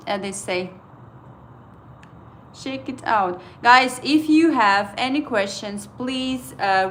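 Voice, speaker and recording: Slow-talking Estonian-accented woman streamer. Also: medium pitch, a bit bright, slight background noise. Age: 30s. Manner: chatty, asks viewers questions, halting non-native English with fillers. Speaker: livestream trading host. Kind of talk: casual livestream chat with viewers.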